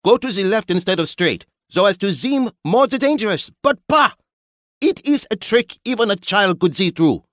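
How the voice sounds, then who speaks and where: A man's voice: high voice, lively intonation, moderate pace, fairly bright tone, dry recording, clean studio sound, pitched above the performer's own voice. A man voicing a temperamental male designer who speaks broken English with haughty, explosive scorn. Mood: scornful. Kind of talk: audiobook character voice.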